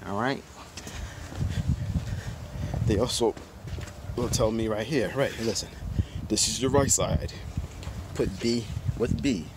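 Diy guy rambling through a garage door install, lowish voice, wind and clicks all over the phone audio. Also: slow pace, long pauses. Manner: loose and rambling, many fillers and restarts. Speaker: DIY video host. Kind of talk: casual how-to walkthrough. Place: outdoors in a driveway and in a home garage. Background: wind noise.

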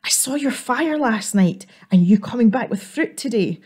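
A woman's voice: lively intonation, moderate pace, dark tone, slightly rough. Woman doing a tiny timid squirrel boy. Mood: pleading. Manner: high, breathless and polite. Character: small male snow squirrel, timid and eager.

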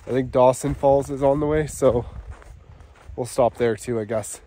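A man's voice: fairly even intonation, normal speed, mellow. A man, talking casually to the camera in a laid-back travel vlog and sometimes trailing off. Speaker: vlogger. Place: outdoors in snowy woods, sometimes in a vehicle. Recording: outdoor background noise, vehicle rumble.

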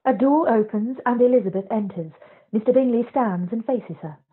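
Woman narrating a play script, bit raspy, dull lo-fi call sound. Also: fairly high pitch, moderate pace. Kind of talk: scripted narration of stage directions. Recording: dull, lo-fi video-call audio.

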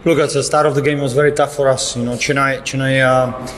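A man's voice: medium pitch, little pitch movement, quick pace. A man in his thirties, a football head coach, answering reporters at a post-match press conference in fairly plain with frequent restarts, into a table microphone. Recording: echoey room with reverb.

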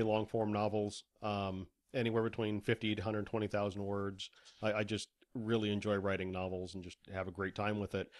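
Enthusiastic man with a lowish voice. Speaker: novelist, interview guest. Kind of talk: casual interview chat.

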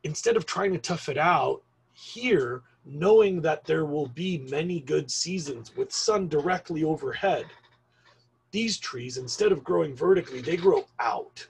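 A middle-aged male teacher talks slowly through a webcam lesson, filling his pauses with ums. His voice sits a little high and sounds rough and raspy, with a gravelly edge. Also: animated, poor audio. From Argentina. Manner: slow, rambling explanation with frequent ums. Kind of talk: recorded online class lecture.

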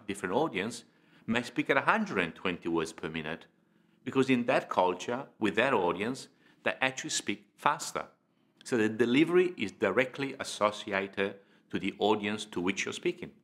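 A man in his fifties giving an instructional talk to camera as a speaking trainer, with deliberate, emphatic phrasing and pauses. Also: medium pitch, a bit bright. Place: indoor studio.

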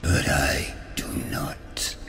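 A man voicing an ancient, reclusive vampire elder in a video game, gravelly and whispery, terse, imperious and menacing. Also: drawn-out words, bright, forceful tone, faint music behind. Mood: contemptuous menace. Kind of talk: dramatic video game acting.

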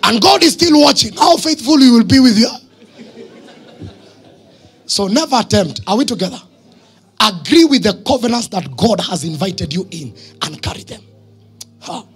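A man in his thirties with a Kenyan accent, a pastor preaching emphatically in short phrases and questions to his congregation, husky, on a handheld microphone in church. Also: high-pitched, sing-song, normal speed, pauses often. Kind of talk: sermon. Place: church stage, indoors.

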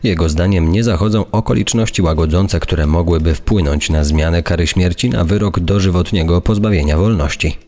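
A man's voice: low voice, normal speed, hardly any pauses, a little mellow. A man reading scripted documentary narration in a formal, factual way.

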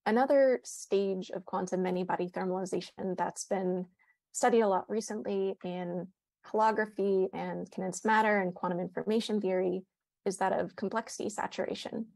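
A woman in her thirties giving a measured, precise academic explanation over a video call on an earphone mic. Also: medium pitch, steady tone, gravelly, a little mellow, no room sound. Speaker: panelist, physicist. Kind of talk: explanatory academic panel answer. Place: indoor room, joining by video call. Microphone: earphone headset on video call.

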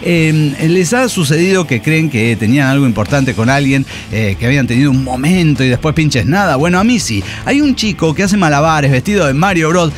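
High-pitched man hosting a comic radio show. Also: animated, brisk, hardly any pauses. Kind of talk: comic reading of listener messages with banter. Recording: music bed under the voice.

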